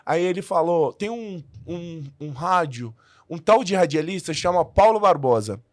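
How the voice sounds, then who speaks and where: A man's voice: fairly high pitch, lively intonation, measured pace, no room sound, studio quality. A man who learned the language as an adult, a podcast guest telling his story in a casual way with many restarts and fillers.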